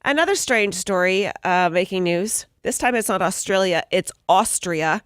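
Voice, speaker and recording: A woman's voice: medium pitch, animated, normal speed, no room sound, studio quality. A woman hosting a radio morning show, telling strange news stories in casual banter with her co-hosts. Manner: storytelling, reads out odd news items with jokes. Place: radio studio. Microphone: studio microphone.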